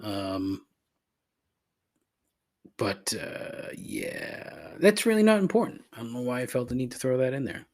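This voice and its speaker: A man's voice: mid-range voice, big pitch swings, measured pace, long pauses, darkish tone. A congested, slightly nasal man hosting a solo podcast, rambling and joking at his own expense on a cheap microphone in a cavernous, echoey room. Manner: rambling, self-deprecating, many fillers and restarts. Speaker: podcast host. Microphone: cheap desk microphone.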